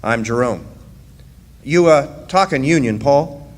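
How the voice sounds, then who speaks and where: An actor playing a wry, easygoing drifter miner in a radio drama, with a folksy way of talking. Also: male voice, mid-range voice, expressive, slow. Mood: wary, wry. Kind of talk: live original radio drama. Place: live performance in a resort hall. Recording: echoing hall, faint noise, live stage.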